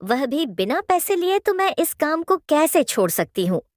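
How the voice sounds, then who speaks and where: A determined young village woman from an audio drama, voiced by a woman, speaks high and with great animation. She is spirited and questioning, in a dry, close recording. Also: very animated, normal speed, no room sound. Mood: insistent, questioning. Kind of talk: dramatised Hindi audio story acting.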